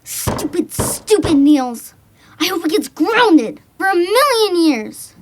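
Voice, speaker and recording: High-voiced bratty little genius girl. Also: big pitch swings, takes it slowly, noisy background, heavily acted. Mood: angry. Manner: haughty, know-it-all, dramatic. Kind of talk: audio drama acting.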